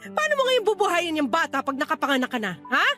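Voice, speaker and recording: An angry Filipino older sister in a radio drama. Her voice sits extremely high as she interrogates and scolds like the family breadwinner. Soft music plays underneath. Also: sing-song, quick pace, exaggerated voice. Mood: furious scolding. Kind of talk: Tagalog radio drama acting. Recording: faint music behind the voice.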